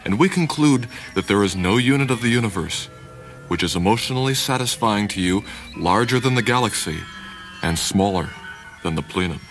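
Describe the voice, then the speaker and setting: A man's voice: fairly low pitch, animated, normal speed, fairly bright tone, pitched above the performer's own voice. A man voicing a deferential scientist in a science fiction story, reporting formally and precisely. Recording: music behind.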